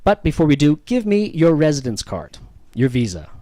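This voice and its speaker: Higher-voiced Canadian stand-up comic man. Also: very animated, moderate pace, warm tone, no echo, studio quality. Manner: self-deprecating, ironic. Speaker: stand-up comedian. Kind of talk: stand-up comedy with audience banter.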